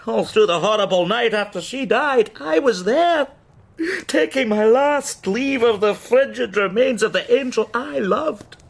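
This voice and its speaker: A man's voice: very high-pitched, lively intonation, unhurried, vocal fry, full character voice. An actor playing an unhinged, lovelorn man sliding into raving madness, theatrical and rambling, in a period radio drama. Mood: anguished, adoring. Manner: theatrical, rambling, swooping between whispers and cries.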